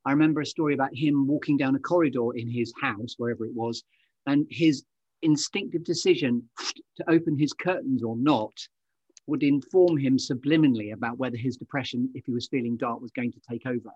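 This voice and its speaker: A man's voice: mid-range voice, a little fast, a little mellow, breathy edge, dry recording. British male podcast host, dry muffled sound. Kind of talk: conversational interviewing.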